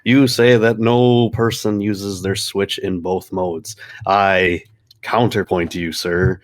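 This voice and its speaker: A man's voice: sits low, flat delivery, slow. A man co-hosting a casual gaming podcast, talking in a joking way. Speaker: podcast co-host.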